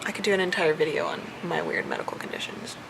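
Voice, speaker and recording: A young American woman with a lot of creak in her voice talks casually, like a vlogger answering questions for her viewers. She chews gum as she goes. Clear ambient noise sits behind her. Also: lowish voice, huge pitch swings, fast talker. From southern United States. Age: young adult. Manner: chewing gum while talking.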